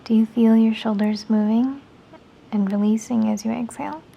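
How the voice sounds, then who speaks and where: A woman with a fairly high, mellow voice guides a meditation. Her delivery is very breathy and soft, often slipping toward a whisper. She gives each instruction in an unhurried, soothing manner. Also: fairly even intonation.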